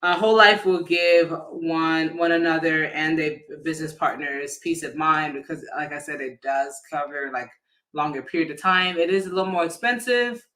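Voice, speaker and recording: A man working as an insurance agent, explaining policies casually on a livestream, often pausing with fillers and restarting his sentences. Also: a little high, bright, forceful tone. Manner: hesitant, many fillers and restarts; addresses viewers informally.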